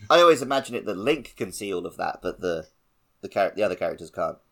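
A man's voice: sits low, sing-song, fast talker. A man co-hosting a podcast, talking casually and conversationally about a video game. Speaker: podcast co-host.

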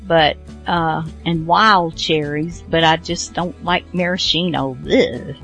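A woman's voice: sits low, fairly even intonation, slow pace, fairly bright tone, soft background music. A woman hosting a radio show, chatting casually in a rambling, self-deprecating way as she introduces programs.

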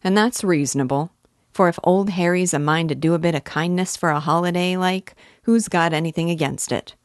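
A woman's voice: lowish voice, brisk, no echo, clean studio sound, lightly acted. A woman voicing an old male English village busybody with a rural dialect, self-important and neighborly, in a storybook reading. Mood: garrulous, opinionated. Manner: rambling, opinionated, advice-giving.

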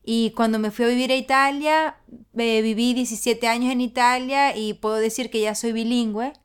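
A woman's voice: on the high side, steady tone, normal speed, smooth. A woman from Venezuela with a Venezuelan accent, chatting as a guest in a casual interview, often restarting and repeating words. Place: London.

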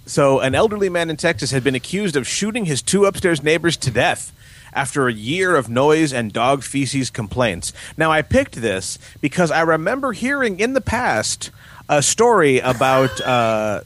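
A man's voice: fairly high pitch, big pitch swings, normal speed, fairly bright tone. A man co-hosting a casual podcast, reading news stories with wry, joking commentary. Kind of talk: casual comedic news chat.

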